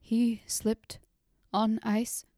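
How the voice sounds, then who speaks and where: A woman reading puts on a grief-stricken father in an old-fashioned fantasy tale. He talks formally and stops short, as if the words won't come. His voice is mellow and unhurried, heard close and dry. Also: a little high, little pitch movement, dry recording. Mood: grieving. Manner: halting, formal speech. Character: grieving middle-aged father, proud host.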